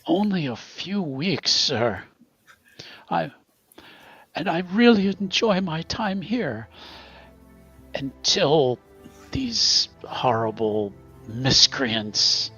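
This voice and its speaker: A man plays a nervous, obsequious young underling in a tabletop roleplaying game. His voice is lifted high and he stammers fretfully as he flatters his betters, with music playing underneath. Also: very slow, pauses often, slightly rough, highs cut off, heavily acted. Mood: anxious, fawning. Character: nervous, stammering, deferential male newcomer flattering his superior.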